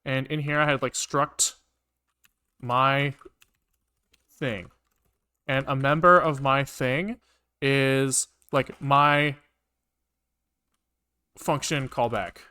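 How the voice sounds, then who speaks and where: A man thinking aloud casually as he programs on a livestream, halting and questioning himself as he mutters along. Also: mid-range voice, steady tone, pushed and bright, smooth. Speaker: live-coding streamer, programmer.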